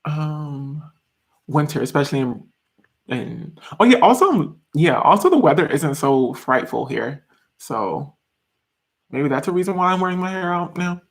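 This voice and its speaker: A young man in his twenties talks casually on a live stream from a small bedroom, answering viewers' comments. His voice sits a little high for a man, and he laughs often, restarts sentences and piles on fillers as he chats. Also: very animated, unhurried, vocal fry. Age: 20s. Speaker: YouTuber reading live chat.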